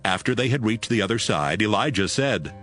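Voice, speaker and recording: A male narrator tells scripture as a dramatized story. His voice is fairly low and highly expressive, with music playing clearly beneath the reading. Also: fairly quick.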